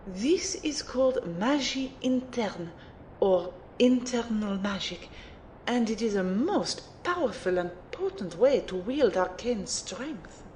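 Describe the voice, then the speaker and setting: A woman's voice: mid-range voice, animated, slow, mellow, slight background noise. A woman playing a haughty but fond mage mentor in an audio drama, with a condescending, theatrical manner. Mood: lecturing. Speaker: voice actor in an amateur audio drama. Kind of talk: acted dialogue in an audio drama.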